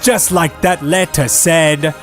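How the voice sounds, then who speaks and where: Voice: mid-range voice, steady tone, slow pace, faint music behind, natural voice. A performer playing a downtrodden, hopeful boy in a plaintive, earnest manner. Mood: wistful singing.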